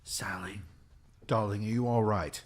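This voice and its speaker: Gentle old husband, played by a man. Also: lowish voice, fairly even intonation, measured pace. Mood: tender, reassuring. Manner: gentle, affectionate, addressing loved ones as darling. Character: old devoted husband, tender and easily rattled. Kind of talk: improvised audio drama acting.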